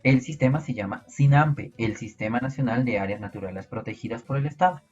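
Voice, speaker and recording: A man's voice: medium pitch, a little fast, a little mellow, muffled recording, soft background music. A man with a Peruvian Spanish accent teaching an online biology class, explaining step by step and asking his students rhetorical questions. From Peru.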